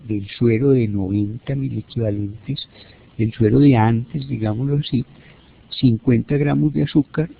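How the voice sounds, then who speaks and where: A Colombian man delivers a halting, didactic lecture. His voice has a gravelly creak to it. The sound is thin and narrow, like a telephone connection. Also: mid-range voice. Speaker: medical lecturer. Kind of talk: teleconference lecture, explanatory. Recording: narrow, phone-like teleconference audio.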